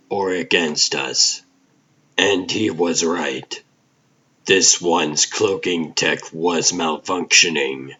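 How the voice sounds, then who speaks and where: A man playing a brooding vigilante detective, narrating a comic drama in a grim, deliberate noir manner. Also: sits low, bright, forceful tone, highs cut off, exaggerated voice. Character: aging vigilante hero, brooding hard-boiled detective narrator. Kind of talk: scripted comic dub, noir inner monologue.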